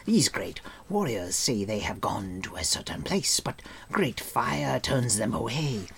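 A man's voice: mid-range voice, sing-song, slow pace, creaky, voice pushed up, heavily acted. A man voicing a groveling, greedy, hairy forest creature who wails and pleads, in a storybook reading. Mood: whispering. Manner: wailing, pleading, talks of himself by name.

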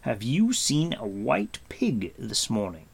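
A man's voice: mid-range voice, wildly swooping pitch, takes it slowly, creaky, husky, heavily acted. A man voicing a stern, impatient warrior prince who speaks firmly, in a storybook reading. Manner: firm, impatient, severe.

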